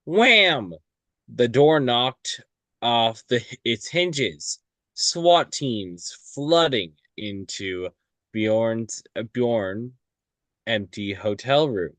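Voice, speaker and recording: A man narrates a screenplay at a table read with extremely slow, halting delivery. He breaks lines into short pieces and stumbles over words. The sound is dry, with little room echo. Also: medium pitch, lively intonation, a bit bright. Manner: halting, stumbling sight-reading.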